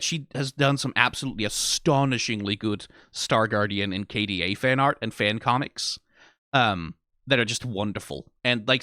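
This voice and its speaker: A man giving off-the-cuff reaction commentary, informal and emphatic with casual swearing, rambling and halting as if short on sleep. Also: fairly low pitch, bright, forceful tone, no echo, studio quality. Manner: rambling, halting, trailing off mid-thought. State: tired after being awake for twenty hours. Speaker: host of a reaction video.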